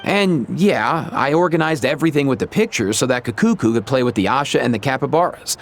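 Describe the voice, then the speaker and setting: Casual, lively young guy played by a man. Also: medium pitch, fairly quick, close to an everyday voice. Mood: sheepish. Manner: slangy, casual, offhand. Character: casual, brotherly young man, bashful about his kindness. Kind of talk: video game voice acting.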